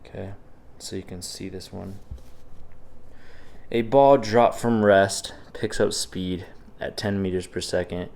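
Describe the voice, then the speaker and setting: A man's voice: sits low, fairly even intonation, takes it slowly, creaky, a little mellow, slight background noise. A man, sick and a bit sniffly, teaching in a casual, encouraging way as he walks through practice problems in a video lecture. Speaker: physics teacher.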